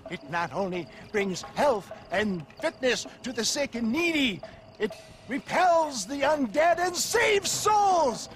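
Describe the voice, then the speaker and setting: A showman peddling a bogus tonic, a high voice stretching every word slowly in theatrical, chuckling patter, a game cutscene huckster who is slippery and full of bluster. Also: very animated, a bit bright, faint music behind, heavily acted. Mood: hawking. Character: smooth-talking male snake-oil huckster, showy and evasive. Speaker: traveling tonic salesman. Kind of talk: video game cutscene voice acting.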